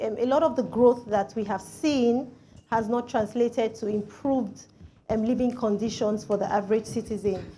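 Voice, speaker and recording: A woman, politely putting formal questions as a moderator, often pausing and saying "you know". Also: a little high, dark tone, slight background noise.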